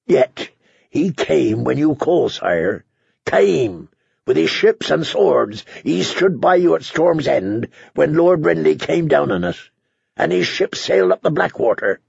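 A blunt, earnest knight of humble birth, played by a male narrator speaks in a fantasy audiobook. His voice is husky and creaky, his words slow and emphatic. The sound is dry. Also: fairly high pitch, very animated, a little mellow, no echo, highs cut off, voice pushed up, full character voice. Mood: impassioned pleading. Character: blunt, earnest lowborn knight pleading boldly.

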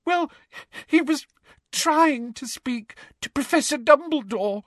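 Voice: mid-range voice, lively intonation, measured pace, bright, forceful tone, no echo, studio quality, voice pushed up. A performer voicing a distressed teenage schoolgirl in an audiobook, tearful and stammering but defiant. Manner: sobbing, stammering, gasping delivery.

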